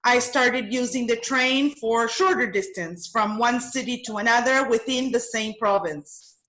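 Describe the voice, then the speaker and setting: A woman's voice: a little high, a little slow, a bit bright. A woman from Canada with a Canadian accent, chatting casually as an interview guest. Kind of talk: casual conversation on a call. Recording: echoey room on a call.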